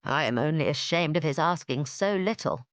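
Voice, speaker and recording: A woman's voice: low-pitched, expressive, moderate pace, no echo. A woman voicing a weary older father with a dry, sardonic way of speaking. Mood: wry.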